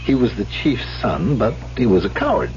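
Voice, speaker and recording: An actor playing a confident, teasing suitor in an old-time radio drama, folksy and assured. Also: male voice, mid-range voice, very animated, moderate pace, close to an everyday voice. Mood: teasing, storytelling. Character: confident, teasing male suitor spinning tall tales. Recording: dull, noisy old radio recording.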